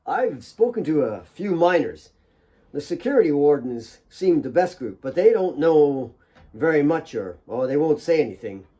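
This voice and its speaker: A man's voice: on the high side, big pitch swings, moderate pace, mellow, highs cut off. A man voicing a sympathetic, careful young policeman with a hesitant, earnest manner for an audiobook. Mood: earnest report. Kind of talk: audiobook character voice.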